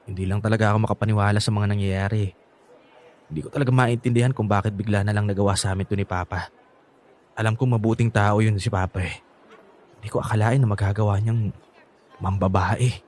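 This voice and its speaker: A man's voice: fairly low pitch, steady tone, fairly quick, long pauses, darkish tone, lightly acted. A man playing a hurt, angry young son and boyfriend in a Filipino radio drama, stammering when upset. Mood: low, hurt.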